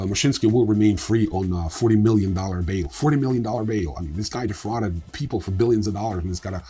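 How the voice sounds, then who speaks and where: A man's voice: low-pitched, warm tone, breathy edge. A man hosting a news show, reading scripted reports in an informal way with casual asides. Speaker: host of a crypto news show.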